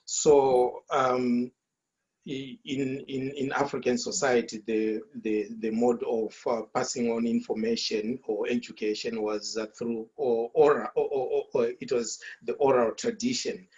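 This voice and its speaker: Shona-speaking man from zimbabwe, lots of uh and um, middle pitch, muffled call audio. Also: level tone, darkish tone. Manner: halting, many fillers and repeated words. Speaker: author. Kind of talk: speaking at an online launch. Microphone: video call.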